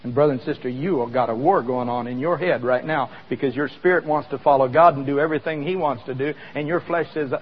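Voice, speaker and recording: A man's voice: medium pitch, fast, few pauses, slightly rough, big-room sound, dull audio. A man preaching a sermon in a conversational way, asking the congregation questions as he explains scripture. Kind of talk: sermon with live demonstration.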